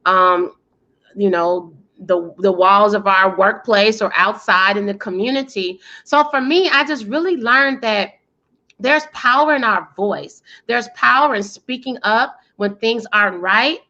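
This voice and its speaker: A woman's voice: mid-range voice, measured pace, dull audio. An American woman giving articulate, emphatic answers as an interview guest, with a powerful, self-assured voice. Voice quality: loud, powerful voice. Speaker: interview guest, PR coach and speaker.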